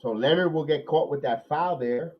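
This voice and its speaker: A man hosting a live watch-along podcast, nasal from a cold, calling plays excitedly and then talking analysis with many repeats. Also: a little high, moderate pace, lo-fi sound. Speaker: podcast host.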